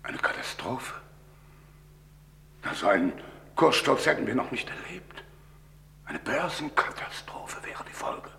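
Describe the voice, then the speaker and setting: A man playing a wary, shrewd, wealthy English gentleman in an old German radio crime drama, with deliberate, dramatic delivery. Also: medium pitch, big pitch swings, creaky, breathy edge, full character voice. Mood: uneasy, hushed. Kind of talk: German radio crime drama acting, mid-20th century.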